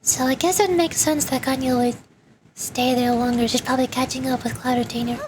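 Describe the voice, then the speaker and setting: A woman streaming a game playthrough, chatting casually and reading the game's dialogue aloud in a flat, steady way. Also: high voice, a little fast, a little hiss or hum.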